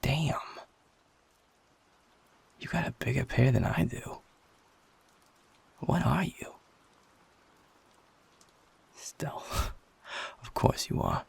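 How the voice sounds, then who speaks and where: A man voicing a feisty, flirtatious werewolf in an intimate audio roleplay, gruff, cocky and blunt, with a gravelly, husky voice. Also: mid-range voice, lively intonation, very slow, pauses often, full character voice. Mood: stunned, curious. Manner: swearing, teasing, informal and blunt.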